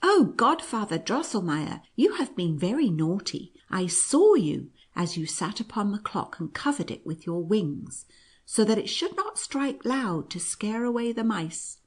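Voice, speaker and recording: A woman's voice: medium pitch, sing-song, unhurried, husky, pitched above the performer's own voice. A woman voicing a little girl in a sickbed, plaintive and reproachful, as she reads a storybook aloud. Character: girl Maria, upset, sickly, reproachful.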